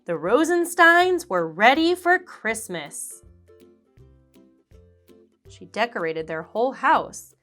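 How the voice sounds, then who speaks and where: A woman reading a children's picture book aloud, slowly and expressively. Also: fairly high pitch, faint music behind. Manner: slow, expressive, animated character voices. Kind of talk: read-aloud storybook narration.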